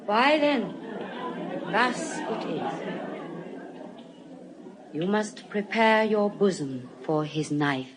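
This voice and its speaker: Eloquent young lawyer, very slow, expressive. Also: female voice, mid-range voice, a crowd in the background, background noise. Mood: firm, grave. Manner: measured, earnest, dropping to hushed emphasis. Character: young lawyer, a disguised woman, eloquent, solemn, merciful. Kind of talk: classical stage acting in audio Shakespeare.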